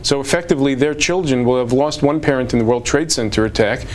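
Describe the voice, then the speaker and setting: Measured, formal male lawyer. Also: mid-range voice, slight background noise. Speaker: immigration lawyer. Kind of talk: TV interview.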